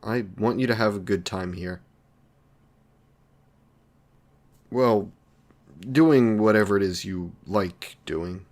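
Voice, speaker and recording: Lonely villain , male actor, somewhat deep voice. Considerate and socially awkward, apologetic delivery for close, intimate roleplay audio. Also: unhurried, a little mellow, lightly acted. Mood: tentative, curious. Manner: hesitant, apologetic, polite.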